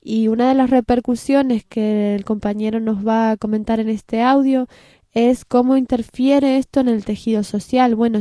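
A woman presenting a radio show in a studio, talking in an unhurried way with fillers and small restarts. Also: a little high, warm tone, dry recording. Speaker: radio host.

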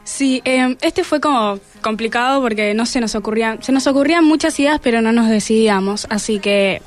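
A woman's voice: a little high, brisk, soft background music. A teenage young woman answering hesitantly and briefly in a casual interview. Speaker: student scriptwriter, interviewee.

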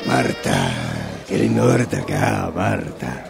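An actor in a radio theatre exercise playing a lecherous, smitten old flame, gravelly and stammering, with an Argentine accent. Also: male voice, sits low, big pitch swings, slow pace, exaggerated voice. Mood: amorous, overcome. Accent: Argentine, Rioplatense. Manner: drawling, stammering with desire. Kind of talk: radio theatre workshop acting. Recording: loud music behind the voice. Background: music.

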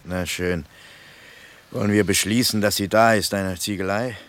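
An actor playing a sceptical, grumbling escaped soldier in a German radio play, muttering hesitant, sardonic remarks. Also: male voice, on the low side, steady tone, moderate pace, fairly bright tone, no echo, natural voice.

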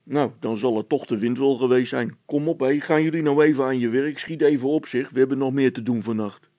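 A man voicing a gruff village constable in a children's audiobook, blustering and dismissive but secretly timid. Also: medium pitch, a little fast, warm tone, dry recording, voice pushed up, lightly acted. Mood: impatient.

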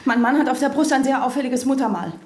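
Fast, high-voiced, distrustful wife. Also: female voice, a little mellow. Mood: defiant, demanding. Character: distrustful wife, woman, defiant, demanding, frightened. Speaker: actor in a radio play. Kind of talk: German radio drama acting.

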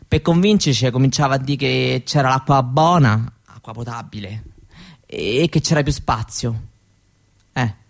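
A woman playing a candid, proud seventeen-year-old Roma girl in a radio monologue, with a Roman Italian accent and halting colloquial talk that often seeks agreement. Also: rumbling low, vocal fry, full character voice. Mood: chatty, earnest. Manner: colloquial, halting, seeking agreement with little tag questions. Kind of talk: conversational theatrical monologue for radio.

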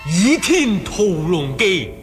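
An actor declaiming a solemn, grand wuxia radio series intro in Hong Kong Cantonese. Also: male voice, high-pitched, big pitch swings, takes it slowly, full character voice. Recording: radio intro under loud theme music.

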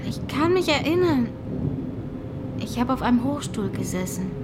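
An actress in a radio drama playing an eerie, childlike younger sister, needy and accusing, who slips into whispers. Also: female voice, high-pitched, sing-song, moderate pace, heavy background noise. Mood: wistful, pleading. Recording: music behind the voice. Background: music.

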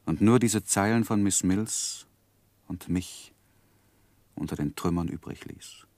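An actor playing an earnest, lovesick young gentleman who narrates his life in a measured, introspective 1960s German radio drama. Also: low voice, dry recording. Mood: wistful. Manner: measured, introspective literary delivery.

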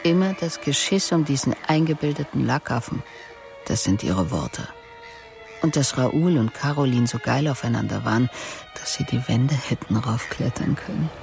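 A woman's voice: a bass voice, animated, normal speed, gravelly, a little mellow, soft background music, full character voice. A woman acting in a German radio drama as a composed police investigator, formal and controlled as she questions. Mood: probing, firm. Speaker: actor playing a police investigator.

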